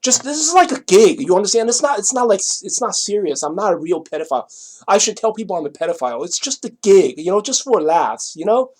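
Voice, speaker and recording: A middle-aged man from Taiwan with a Taiwanese accent, telling a personal story casually in a rambling, halting, repetitive way, often checking that the listener follows. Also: high voice, big pitch swings, darkish tone, husky.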